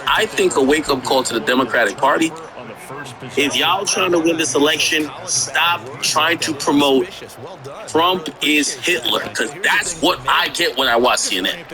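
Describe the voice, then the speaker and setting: Give me a higher-voiced man from Jamaica with a profane, stammering rant outdoors, crowd in the background. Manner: blunt, profane, stammering restarts. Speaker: streamer. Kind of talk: casual political rant.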